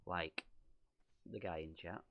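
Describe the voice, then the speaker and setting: A man's voice: low-pitched, steady tone, slow pace, muffled recording, noisy background. A young man with a Lancashire accent, a streamer chatting casually and reading viewer questions aloud, self-deprecating and rambling. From Lancashire, England. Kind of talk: casual livestream chat answering viewer questions.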